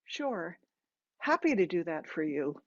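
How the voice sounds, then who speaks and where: Woman acting strained, resentful grown daughter, animated pitch. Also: measured pace, husky, muffled recording. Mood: subdued, reluctant. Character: stunned, resentful middle-aged daughter forcing politeness.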